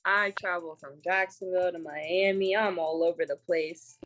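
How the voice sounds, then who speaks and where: A woman's voice: fairly low pitch, fairly even intonation, moderate pace, a bit bright, faint music behind. A woman in her twenties from Florida with a Southern accent, answering interview questions in a laid-back, hesitant way from a hotel room. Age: 20s. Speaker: interview guest.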